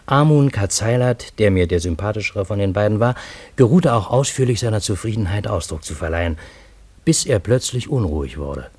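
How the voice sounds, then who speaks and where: An actor playing a Parisian man who narrates his own strange adventure in a radio drama, in measured literary storytelling. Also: on the low side, lively intonation, darkish tone, husky, no room sound. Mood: wry, measured. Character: male Parisian narrator, astonished friend of time traveller.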